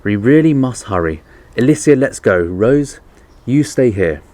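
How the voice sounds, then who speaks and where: A man's voice: medium pitch, lively intonation, a little slow, a little mellow, no room sound, voice pushed up, lightly acted. A man voicing an anxious, decisive father in a children's storybook, urgent and emphatic.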